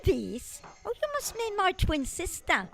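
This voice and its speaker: A woman's voice: very high-pitched, very animated, measured pace, gravelly, a bit bright, soft background music, full character voice. A woman playing a sweet, chatty elderly tea-room lady who coos endearments in an amateur audio comedy. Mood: chirpy, gossipy. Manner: cooing, chatty, old-fashioned endearments.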